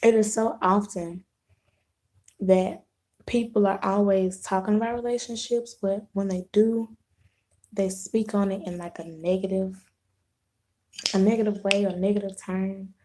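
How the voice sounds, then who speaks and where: Hoarse woman chatting slowly on a live stream. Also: mid-range voice, fairly even intonation, warm tone. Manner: unhurried, rambling, clears throat, frequent restarts. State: hoarse, losing her voice, sore throat. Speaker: vlogger hosting a live video. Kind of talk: casual live-stream chat.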